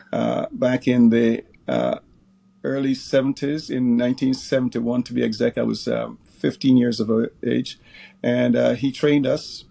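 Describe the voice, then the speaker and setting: Gravelly, mellow older man from Guyana. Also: medium pitch. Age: 60s. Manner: unhurried, many uh fillers. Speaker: guest, pickleball referee director. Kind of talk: interview answers, recollecting.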